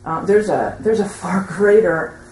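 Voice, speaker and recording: A woman speaking from the audience in a group discussion, halting and tentative as she shares her experience. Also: medium pitch, darkish tone. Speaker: audience member commenting at a teaching session. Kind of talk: rambling spoken testimony in a group discussion. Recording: roomy echo with faint background noise.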